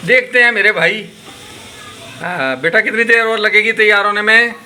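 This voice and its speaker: A man with a sore, hoarse throat talks viewers through cooking in his kitchen, chatty and easygoing. His voice sits a little high for a man. The home recording carries clear background noise. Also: moderate pace, sharp tone. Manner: chatty, addresses viewers as brother. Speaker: vlogger. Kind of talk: casual cooking vlog narration. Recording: noisy home video.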